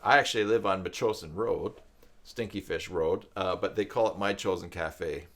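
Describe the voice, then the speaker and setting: Older man, low-pitched, chatting casually. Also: a bit bright. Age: older, with grandchildren. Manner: rambling, reflective, hesitant pauses. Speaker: live stream host. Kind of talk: casual live stream chat.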